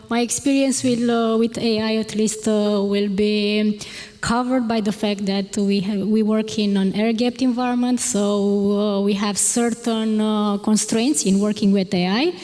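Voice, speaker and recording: A woman software architect introduces herself on a panel in a mellow, warm voice. She speaks carefully with small pauses, and a long reverb fills the auditorium around her. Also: mid-range voice, fairly even intonation. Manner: measured, hesitant. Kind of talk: conference self-introduction. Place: large conference auditorium.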